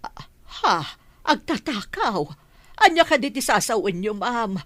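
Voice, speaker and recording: A woman's voice: fairly high pitch, animated, a little slow, fairly bright tone, no echo, studio quality. An actress playing an ailing, distressed mother who works as a maid in a radio drama. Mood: bewildered, distressed.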